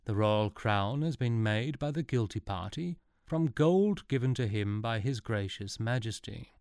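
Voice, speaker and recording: A man's voice: mid-range voice, gravelly, darkish tone, no room sound, clean studio sound. A man voicing an old male sage who speaks grandly and at length. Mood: solemn. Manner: solemn, drawn-out.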